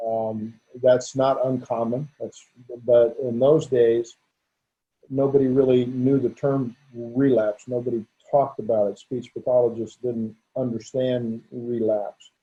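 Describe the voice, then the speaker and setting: A man's voice: medium pitch, flat delivery, a little slow, dark tone. A man in his sixties, a veterinarian being interviewed, talking openly and stuttering with repetitions and restarts. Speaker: guest, veterinarian. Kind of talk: conversational interview. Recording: dull lo-fi audio.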